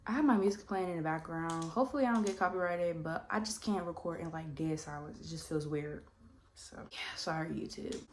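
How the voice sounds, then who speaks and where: A young woman of about twenty with a slightly low, faintly stuffy voice, talking to her camera while she does her makeup. She rambles in a relaxed way and leans on "like" and "you know what I mean.". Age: around 20. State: slightly congested, coming down with a cold. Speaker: vlogger. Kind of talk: casual get-ready-with-me Q&A chat.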